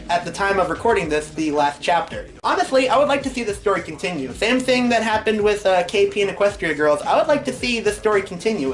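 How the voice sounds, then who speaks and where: A man reading fan fiction aloud and commenting on it as the show's host. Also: high voice.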